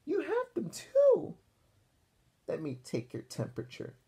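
Man doing a shrill, fussy old grandmother. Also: wildly swooping pitch, unhurried, gravelly, mellow, exaggerated voice. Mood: alarmed. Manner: exclaiming, fussing, scolding. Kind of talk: children's picture-book read-aloud.

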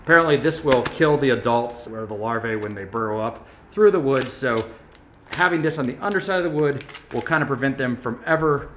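A man's voice: mid-range voice, a little fast, a bit bright, a little hiss or hum. Man explaining a renovation on camera. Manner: explanatory, matter-of-fact. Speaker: renovation vlogger. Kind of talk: casual how-to vlog. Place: large empty brick building under renovation. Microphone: camera mic held at arm's length.

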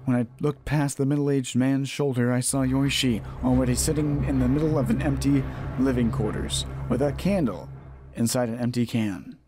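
A man's voice: medium pitch, steady tone, normal speed. A man narrating a horror visual novel as a let's play host, reading aloud and sometimes stumbling and rereading phrases. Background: game music.

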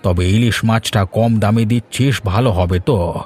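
Husky, gruff Bengali cartoon customer, acted by a man. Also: fairly low pitch, moderate pace, darkish tone. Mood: grumbling. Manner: gruff, scolding, familiar. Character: blustering, suspicious Bengali husband shopping for fish. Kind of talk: cartoon voice acting.

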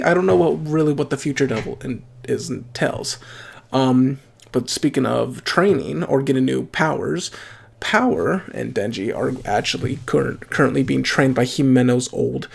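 A man's voice: medium pitch, creaky, husky. A young man hosting an anime reaction video, chatting casually from a small room at home with plenty of fillers and restarts. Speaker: anime reaction channel host.

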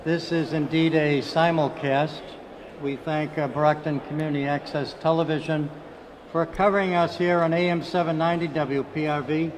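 A man's voice: a little high, fairly even intonation, moderate pace, slight background noise, rough recording. An older man with a Boston accent hosting a live radio show, talking upbeat like an announcer with many fillers, at a desk microphone. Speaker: radio host. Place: conference hall, indoors. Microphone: desk microphone, headphones.

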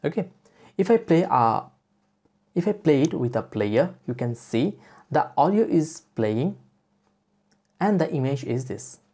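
A woman presenting a software tutorial as a step-by-step voice-over. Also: sits low, sing-song, measured pace, pauses often, slightly rough. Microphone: voice-over for screen recording.

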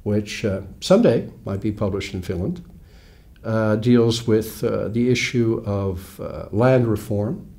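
An American man in his sixties, a guest author explaining things in a wry, measured way, recorded in a small studio on a lapel mic. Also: lowish voice, vocal fry, darkish tone. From United States. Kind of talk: relaxed interview talk. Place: small studio with red curtain.

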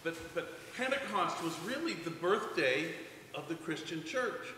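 Older male pastor, relaxed halting chat, bright tone, distant mic in a reverberant church. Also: on the high side. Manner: relaxed and halting, with many restarts. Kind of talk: children's story chat. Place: church sanctuary, large. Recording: echoey church hall, distant mic, faint noise.

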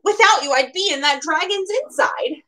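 A woman's voice: extremely high pitch, normal speed, pushed and bright, recorded from a distance, voice pushed up. A woman voicing a merry, grateful witch from a rhyming picture book.

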